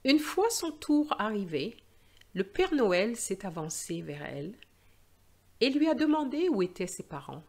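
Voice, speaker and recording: A woman teacher reading and presenting in a slow, expressive storytelling style for language learners. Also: medium pitch.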